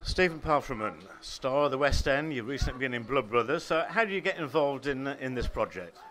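A man's voice: medium pitch, lively intonation, fairly quick, a bit bright. A man interviewing guests, introducing each one formally and asking polite questions. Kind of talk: local interview.

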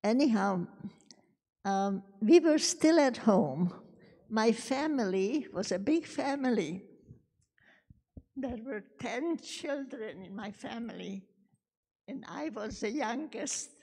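An older woman from Czechoslovakia whose first language is Hungarian, telling her life story to students in a slow, gentle way with some restarts, holding a handheld mic in a large synagogue. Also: on the high side, expressive, creaky, breathy edge. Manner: slow, gentle, halting speech with restarts and soft laughs. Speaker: guest speaker. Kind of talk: personal testimony talk. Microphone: handheld microphone. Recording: long reverb of a large hall.

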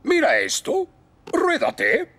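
A man's voice: very high voice, wildly swooping pitch, slow pace, heavily acted. A man playing a self-important older fire station chief in a children's cartoon dub, pompous and commanding. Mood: smug. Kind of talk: animated children's series dub. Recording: loud music under the voice.

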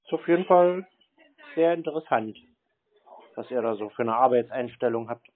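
A man's voice: mid-range voice, lively intonation, normal speed, a little hiss or hum. A man chatting casually on a call, unfazed and nonchalant, with a dryly provoking way of talking. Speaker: scam-baiter. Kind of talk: casual phone conversation. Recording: dry, narrow phone-like sound.

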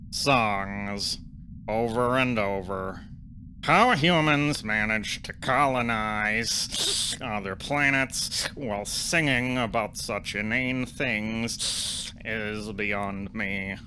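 An actor playing a sullen, haughty alien front desk clerk who scorns humans, deadpan and grudging, in a comic audio drama. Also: mid-range voice, slight background noise.

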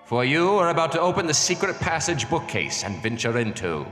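An actor playing a smug, all-knowing horror show announcer in a theatrical, pompous manner. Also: male voice, fairly high pitch, sing-song, fairly quick, a bit bright, soft background music. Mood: ominous. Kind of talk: horror anthology radio announcing.

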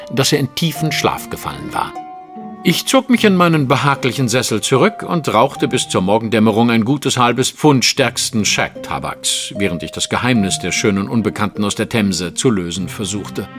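An actor playing a shrewd, impatient gentleman detective in a German audio drama, speaking precisely and formally. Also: mid-range voice.

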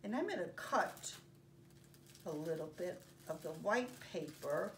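An older woman presenting story time for young children, speaking in simple, deliberate phrases with pauses as she reads and demonstrates. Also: on the low side, expressive. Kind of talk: read-aloud and craft demonstration for young children. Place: carpeted home living room. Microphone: camera a few feet away. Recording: distant mic, faint room noise.

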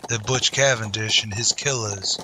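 A man's voice: fairly low pitch, fairly even intonation, moderate pace. A man narrating an old-time radio western in a solemn, grandiose, dramatic style. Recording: faint background noise, ambience.

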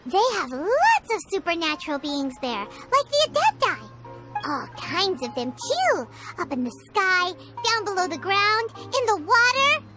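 A woman voicing a tiny floating girl companion in a video game, excitable and chirpy, who calls herself by name. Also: very high voice, a little slow, full character voice. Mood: eager. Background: game music.

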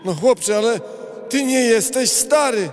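Very high-voiced old beetle chairman. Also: lively intonation, measured pace, in a large hall. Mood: dismissive. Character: patronising old male beetle council chairman. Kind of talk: audio drama acting.

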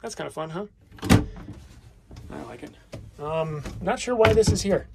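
A man's voice: fairly high pitch, lively intonation, unhurried, vocal fry. A man giving a casual, off-the-cuff walk-through tour in an informal, self-deprecating way, recorded on a handheld device inside a small vehicle. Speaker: vlogger and owner giving a tour of a vintage motorhome. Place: inside a small vintage motorhome. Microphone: handheld phone or camera, held by the speaker. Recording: noisy handheld recording with clicks.

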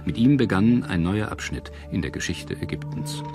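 A male narrator with a very low voice delivers a scripted documentary. His phrasing is measured and articulate, like a storyteller's. Music plays clearly behind him. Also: expressive. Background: background music.

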